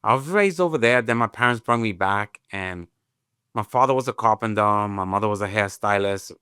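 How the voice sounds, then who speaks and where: A man in his fifties from Puerto Rico, a native Spanish speaker, telling his life story as an interview guest in an unhurried, reflective way. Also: on the low side, animated, sharp tone, clean tone, no room sound, clean studio sound. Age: 50s. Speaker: tech company CEO guest.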